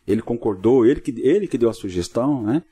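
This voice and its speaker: A middle-aged Brazilian man from São Paulo state talks as a podcast guest. His voice is soft-edged and relaxed in tone, yet the words come very quickly. He chats informally, dotting his stories with short tag questions. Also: mid-range voice, breathy edge. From Cubatão, São Paulo coast, Brazil. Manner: peppers talk with tag questions. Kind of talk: informal interview storytelling.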